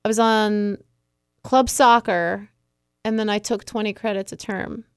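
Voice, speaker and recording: A woman co-hosting a casual chat podcast, chatty and quick to laugh. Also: medium pitch, steady tone, clear, resonant tone, dry recording, clean studio sound. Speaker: podcast co-host.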